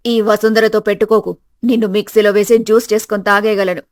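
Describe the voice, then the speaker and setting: A woman voicing a greedy, domineering older mother-in-law in an animated moral story, scolding and threatening with contempt. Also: mid-range voice, fast talker, voice pushed down, natural voice.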